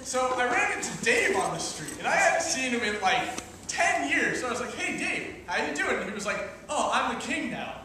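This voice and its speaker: A scheming magical imp played by a man in an improv comedy show, very high and lively in pitch. He tells his side of the tale chattily, like an everyday guy with a sly plan, recorded far off in a very echoey room. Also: a little fast, full character voice. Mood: casual, chatty. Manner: chatty, casual improvised storytelling. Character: casual, scheming magical Rumpelstiltskin, droning on about economics. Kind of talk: improv comedy storytelling on stage. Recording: distant mic, echoey noisy room.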